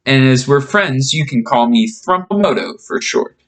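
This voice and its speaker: A man's voice: medium pitch, animated, moderate pace, fairly bright tone. Man reading as a polite tiny boy wizard. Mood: polite, eager. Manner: formal, courteous phrasing. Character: tiny young Japanese boy wizard, polite, earnest, anxious.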